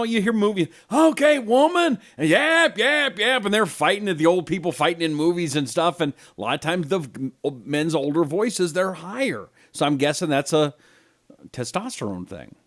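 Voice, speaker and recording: A man's voice: on the high side, sing-song, moderate pace, a bit bright, no room sound, studio quality. A man co-hosting a talk show, chatting casually and jokingly, with hesitant restarts. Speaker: co-host. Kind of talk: casual chat.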